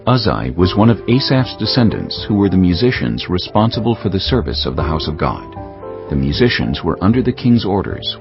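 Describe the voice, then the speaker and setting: A man giving a measured, solemn scripted reading of scripture as an audiobook narrator. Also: low-pitched. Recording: dull lo-fi audio with music behind. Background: prominent music bed.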